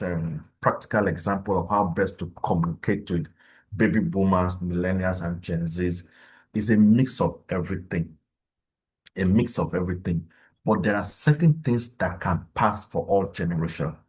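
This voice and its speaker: Deep husky man giving a talk. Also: darkish tone. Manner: measured, explanatory, with frequent fillers and restarts. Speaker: webinar speaker. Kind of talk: webinar talk.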